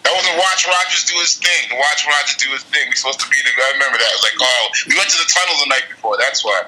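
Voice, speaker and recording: A man's voice: mid-range voice, quick pace, sharp tone, faint music behind. A man co-hosting a podcast by phone, rambling casually with many fillers. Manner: rambling, repeats "you know". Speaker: podcast co-host. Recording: phone line.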